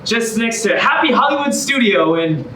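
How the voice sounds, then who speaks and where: A man's voice: very high-pitched, expressive, moderate pace, noisy background. An actor playing an eager, earnest man desperate to win back his ex, in a theatrical musical comedy monologue. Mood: excited. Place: staged reading in a reverberant room. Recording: echoey room, distant microphone.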